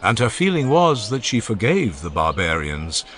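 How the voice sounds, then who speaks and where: A man reading an ancient oration aloud as an audiobook narrator, in a measured, declamatory way that swells and falls for emphasis. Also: on the low side, big pitch swings, fairly bright tone. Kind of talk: dramatized reading of a classical oration.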